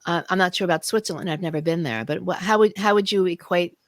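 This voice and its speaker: A woman's voice: lowish voice, quick pace, no room sound. A woman who grew up in New York, co-hosting a casual chat and asking curious follow-up questions. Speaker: co-host.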